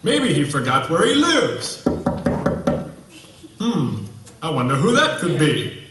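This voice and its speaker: A man's voice: fairly high pitch, huge pitch swings, slow pace, noisy background, full character voice. A man playing a cheerful, folksy, jovial husband puppet in a comedy skit, with exaggerated reactions. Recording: echoey room, distant mic.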